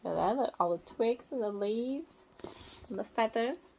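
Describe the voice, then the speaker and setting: A woman in her thirties reading a picture book to small children in simple, expressive phrases, adding little explanations as a teacher would. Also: on the high side, measured pace, vocal fry, a little hiss or hum. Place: small indoor room at home.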